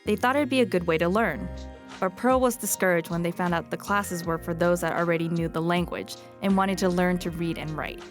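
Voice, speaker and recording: A woman hosting a podcast, narrating in a reflective, measured way and interviewing a guest. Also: fairly low pitch, soft background music. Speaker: podcast host.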